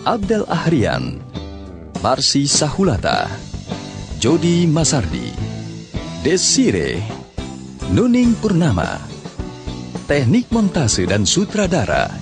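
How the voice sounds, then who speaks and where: A man's voice: a little high, wildly swooping pitch, takes it slowly, long pauses. A man reading scripted radio credits as an announcer. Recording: clear music with guitar behind.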